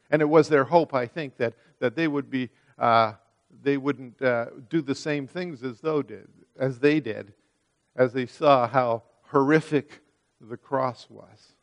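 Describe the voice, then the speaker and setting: An earnest male preacher with a voice of ordinary pitch. He reads scripture and then explains it at length, swelling louder on key words and dropping quiet between them. He often stumbles and repeats a word as he restarts a thought. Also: gravelly. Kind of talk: sermon with scripture reading.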